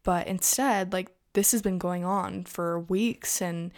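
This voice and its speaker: Chatty young woman with a raspy voice. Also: on the low side, fairly even intonation, takes it slowly, darkish tone. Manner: chatty, filler-heavy, frequent "like" and "you know". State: raspy voice today. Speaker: influencer news vlogger. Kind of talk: casual gossip commentary to viewers.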